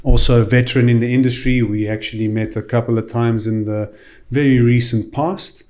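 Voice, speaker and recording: A man hosting a podcast in a studio, chatting informally in a halting, circling way. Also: medium pitch, fairly even intonation, darkish tone. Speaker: podcast host. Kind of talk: informal interview chat.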